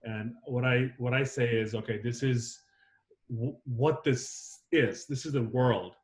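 A man's voice: medium pitch, a little slow. A man in his thirties, born in Zambia and raised on the US East Coast, talking reflectively as an interview guest, with many fillers and restarts. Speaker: filmmaker guest. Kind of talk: casual interview conversation.

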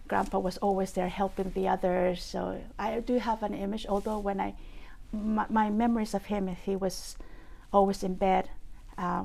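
Creaky-voiced woman, native Spanish speaker. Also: mid-range voice, a little mellow. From Peru. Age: 50s. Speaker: interview guest. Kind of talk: personal recollection in an interview.

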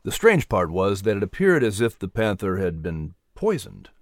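An audiobook narrator playing a calm, kindly colonial minister who speaks as a gentle male mentor. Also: low voice, moderate pace, no echo, studio quality. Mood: informative.

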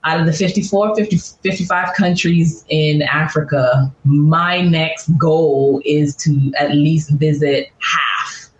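A woman's voice: sits low, fairly even intonation, takes it slowly, few pauses. A woman from the Bahamas, a podcast guest, speaking earnestly and building her points in repeated lists. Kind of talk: reflective interview answers. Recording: roomy, dull remote audio.

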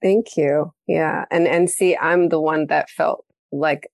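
A woman hosting a podcast, telling a story in a casual, animated way and acting out the quoted lines. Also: low voice, slow pace, gravelly, no room sound, clean studio sound. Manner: animated storytelling, acts out quoted dialogue, laughs often. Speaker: podcast host. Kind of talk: casual conversational interview.

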